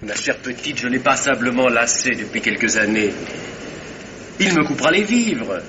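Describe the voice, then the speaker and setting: An actor playing a tense, bitter young heir in a radio mystery, with a forceful theatrical delivery. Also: male voice, medium pitch, expressive, moderate pace, noisy background, close to an everyday voice. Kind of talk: French radio drama acting.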